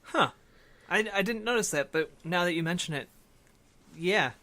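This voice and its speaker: Bright, higher-pitched male podcast host. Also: animated, normal speed. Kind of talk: casual film discussion.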